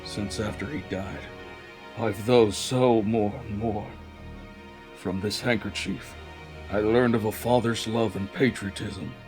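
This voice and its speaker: A man playing a grave, persuasive president villain in a dramatic anime fandub, speaking in measured, formal, declamatory monologue. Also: on the low side, steady tone, music in the background, lightly acted. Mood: earnest, wistful. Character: male president villain, solemn, persuasive, grave, honor-bound vower. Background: dramatic orchestral soundtrack.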